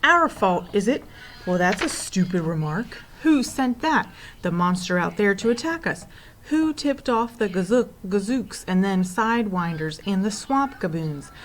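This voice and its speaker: A woman's voice: mid-range voice, animated, normal speed, a little hiss or hum, close to an everyday voice. A woman voicing a fierce, loyal young boy in a storybook, speaking emphatically and defiantly. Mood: angry, defiant.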